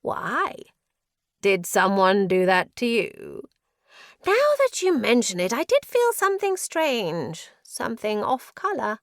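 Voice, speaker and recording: A woman voicing a deranged, delighted male prisoner in his forties in an audiobook story, breathy and erratic in his exclamations. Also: on the high side, huge pitch swings, slow, no room sound, clean studio sound, pitched above the performer's own voice, exaggerated voice. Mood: excited curiosity.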